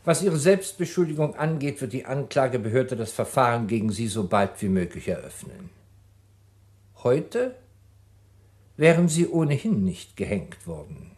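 A man's voice: mid-range voice, sing-song, moderate pace, a little mellow, lightly acted. A man acting in a German radio crime drama, playing a composed public prosecutor who questions formally and sceptically, with dry irony. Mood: grave, official.

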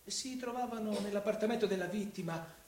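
An actor playing a shrewd, falsely courteous police investigator with a mannered, needling way of questioning, in radio theatre. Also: male voice, high-pitched, a little mellow, close to an everyday voice. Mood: probing, insinuating. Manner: mannered, insinuating, drawn-out questioning. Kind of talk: Italian radio theatre acting. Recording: echoey room, distant mic.

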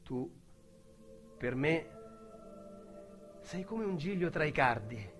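An actor voicing a calm male serial killer who speaks in a slow, measured, contemplative way in a radio drama. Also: a little high, soft background music, voice pushed down.

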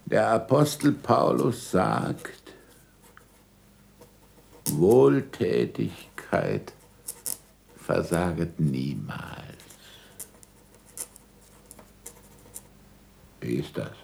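An actor playing an older, sardonic and imperious scholar in a German radio drama, dictating deliberately with irony and curt commands. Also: male voice, low-pitched, big pitch swings, creaky, a little mellow, a little hiss or hum, full character voice. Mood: dictating, ponderous.

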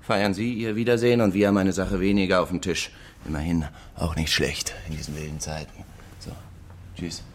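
Very low-voiced policeman, unbothered, folksy, mildly patronising, radio drama. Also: steady tone, brisk. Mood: breezy. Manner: casual, patronising officialese.

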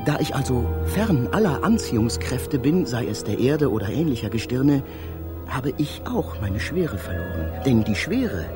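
Animated, theatrical awestruck space traveller. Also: male voice, mid-range voice. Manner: declamatory, rapturous, theatrical. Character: awestruck male space traveller, dreamy poet-philosopher, eloquent and proud. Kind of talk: literary German radio drama monologue.

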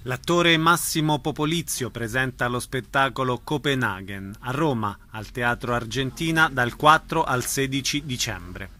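A man's voice: medium pitch, unhurried, hardly any pauses. Man hosting a radio interview. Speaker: radio host interviewing theatre artists. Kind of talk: radio interview and event announcements.

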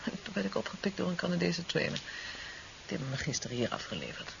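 An actress playing a tender, weary young woman recovering from an ordeal in a 1970s radio drama, affectionate and halting. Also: fairly low pitch, very animated, gravelly, exaggerated voice. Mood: weary, recounting. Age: young adult. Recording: dull, hissy 1970s radio tape.

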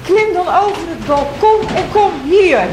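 A woman's voice: extremely high pitch, expressive, a little slow. A woman playing a fretful, exclaiming mayor's wife in a Dutch radio play, anxious and pleading. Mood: panicky, pleading. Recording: echoey room, clicky noisy radio recording.

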